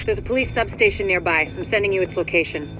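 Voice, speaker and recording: An actress playing a commanding mission coordinator in a video game, businesslike and urgent. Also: female voice, mid-range voice, fast, highs cut off, lightly acted. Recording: music behind the voice.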